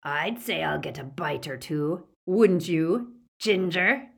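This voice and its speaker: A woman voicing a savage, menacing male werewolf with a rasping snarl, in an audiobook story. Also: on the low side, normal speed, sharp tone, exaggerated voice. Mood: leering menace.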